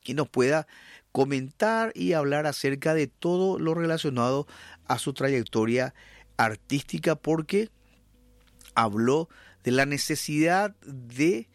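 A man's voice: fairly high pitch, lively intonation, slow pace, dry recording. A man with a Paraguayan accent hosting a talk radio show, chatting with guests and reading news items with frequent fillers. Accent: Paraguayan Spanish. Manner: hesitant with many fillers and restarts, then reading news steadily. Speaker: radio host.

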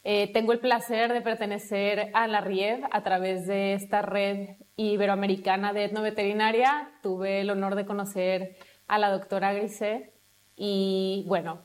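A woman's voice: medium pitch, flat delivery, measured pace. Fluent woman academic. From Mexico. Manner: fluent, explanatory. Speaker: academic researcher. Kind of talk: presentation to camera.

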